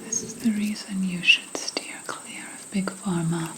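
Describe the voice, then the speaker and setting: A woman playing an indignant, bitter 17th-century witch and healer in a whispered ASMR roleplay, deliberate and scornful. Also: mid-range voice, steady tone, lightly acted. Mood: quietly resentful. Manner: slow, deliberate, scornful whispering with pauses and sighs.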